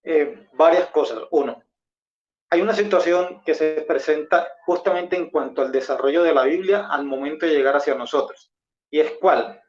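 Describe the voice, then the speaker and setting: Higher-voiced Colombian man, dull lo-fi sound. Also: moderate pace, a little mellow. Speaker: debate participant, former pastor. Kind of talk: expository debate talk. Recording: dull lo-fi audio.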